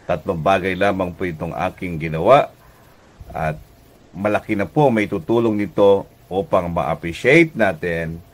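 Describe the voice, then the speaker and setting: A Filipino man preaching a radio devotional as pastor and host, deliberate in delivery, with pauses and emphatic repetition. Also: low-pitched.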